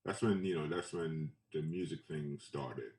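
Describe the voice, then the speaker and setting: A man from Trinidad and Tobago with a low voice shares memories in a casual interview. He speaks haltingly and loosely, circling back over phrases. The sound is echoey, with the microphone at some distance. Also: a little mellow. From Trinidad and Tobago, later Brooklyn. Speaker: photographer being interviewed. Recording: roomy echo, distant mic.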